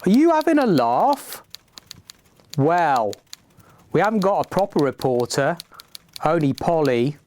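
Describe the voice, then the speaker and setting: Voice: mid-range voice, big pitch swings, unhurried, long pauses, a little mellow, a little hiss or hum. Someone acting a curt, bossy newspaper boss. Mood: irritated, dismissive. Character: brusque, dismissive 1970s newspaper boss. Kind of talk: improvised community radio drama.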